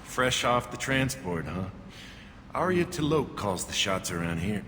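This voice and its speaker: An actor voicing a gruff, dismissive alien guard in a video game, curt and condescending. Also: male voice, on the low side, very animated, a little slow, a bit bright. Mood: scornful, curt. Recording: long reverb, background noise.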